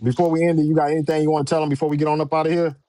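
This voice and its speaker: A man's voice: fairly high pitch, flat delivery, very fast, warm tone. A man hosting a show, chatting casually in an informal, slangy way. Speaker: show host. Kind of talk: casual live interview chat.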